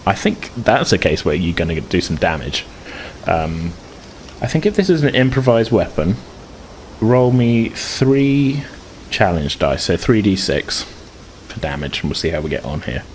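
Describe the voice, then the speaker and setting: A man's voice: sits low, expressive, measured pace, slight background noise, low-quality recording. A man acting as game master, describing scenes and rules in a loose, rambling way with many restarts. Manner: rambling, lots of fillers and restarts. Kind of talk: narrating a tabletop game, casual.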